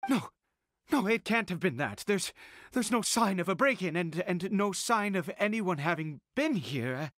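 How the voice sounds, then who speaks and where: A man's voice: sits high, animated, a bit bright, no room sound, studio quality. An anguished, wildly swooping fanatical father. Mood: worried, dismayed. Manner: halting, dramatic, repeats words with frantic urgency. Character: anguished fanatical father searching desperately for his son. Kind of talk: video game voice acting.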